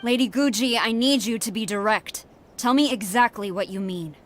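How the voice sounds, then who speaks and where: A woman's voice: on the high side, normal speed, a little hiss or hum, lightly acted. A woman playing a stern, proud, loyal army general in an anime video game, formal and commanding. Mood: indignant.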